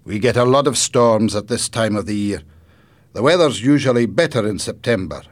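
A man voicing a kindly older grandfather in a storybook, proud and a little sad, speaking in an emphatic, deliberate way. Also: lowish voice, expressive, voice pushed up, lightly acted. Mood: friendly, informative.

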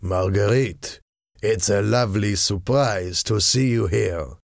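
A man acts out a cunning French ambassador in his forties for a storybook. He is cold and smooth-tongued, drawing his words out slowly in a low, creaky voice with quiet menace. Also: dry recording, clean studio sound. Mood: falsely courteous.

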